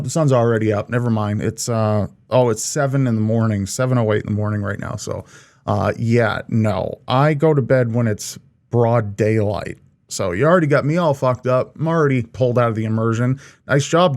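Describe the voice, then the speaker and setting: A man's voice: mid-range voice, moderate pace, a little mellow, no echo, clean studio sound. A man hosting a reaction video, giving informal, jokey commentary in a rambling way. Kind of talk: casual, profane reaction commentary.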